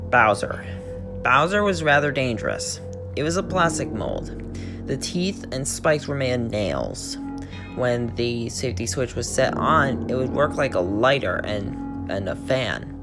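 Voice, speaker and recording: A man's voice: medium pitch, big pitch swings, measured pace, vocal fry, music in the background. A man hosting his channel who reads a creepypasta aloud word for word, often stumbling and restarting his sentences. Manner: plain reading with frequent stumbles and restarts. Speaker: channel host and story reader.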